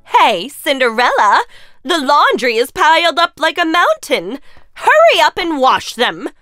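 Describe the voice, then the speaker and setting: A wicked cartoon stepmother voiced by a woman, high and swooping. She is haughty and bossy, giving orders with cruel relish over music. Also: huge pitch swings, a little slow, dry recording, clean studio sound, full character voice. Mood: scolding. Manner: sharp, commanding, haughty. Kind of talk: children's cartoon storytelling. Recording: music under the voice.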